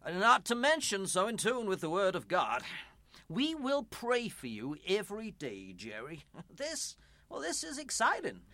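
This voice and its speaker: An actor in a radio play playing a kindly, good-humoured church pastor who talks in a reassuring, pious, amiable way. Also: male voice, high voice, wildly swooping pitch, a little slow, pushed and bright, no echo, heavily acted. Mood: encouraging.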